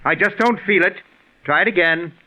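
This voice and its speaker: An actor playing a pompous, coldly sarcastic, tyrannical radio director in 1940s radio drama style, with a haughty, deliberate, condescending manner. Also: male voice, high voice, noisy background, close to an everyday voice. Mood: exasperated. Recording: muffled, phone-thin 1940s radio recording.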